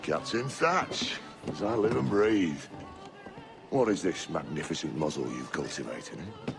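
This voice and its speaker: An actor playing a swaggering, foul-mouthed pirate captain in a video game, with a gravelly, mocking delivery. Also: male voice, rumbling low, lively intonation, unhurried, music in the background, heavily acted. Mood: mock admiration. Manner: mocking, theatrical. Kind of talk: video game cutscene acting.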